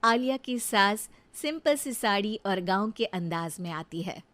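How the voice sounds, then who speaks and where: A woman narrating a cartoon moral story in an unhurried, expressive way. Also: medium pitch.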